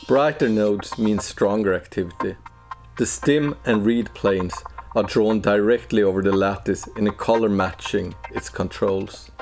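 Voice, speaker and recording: Male, 40s, low register, understated tutorial narrator with measured delivery, music underneath. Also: a little mellow. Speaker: plugin developer presenting walkthrough.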